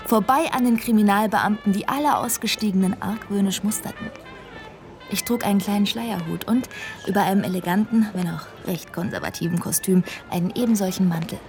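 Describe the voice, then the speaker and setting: An actress playing a young American bank teller turned would-be adventurer, narrating and speaking in a dreamy, often whispered way. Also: female voice, mid-range voice, brisk, music in the background, natural voice. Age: young adult. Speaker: narrator and heroine. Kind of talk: radio play narration and dialogue.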